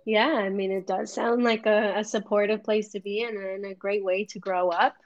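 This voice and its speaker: A woman's voice: mid-range voice, little pitch movement, moderate pace, no room sound. A woman hosting a podcast who asks long, chatty questions and peppers them with "like.". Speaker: podcast host. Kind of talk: casual interview chat. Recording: dull, dry, lo-fi sound.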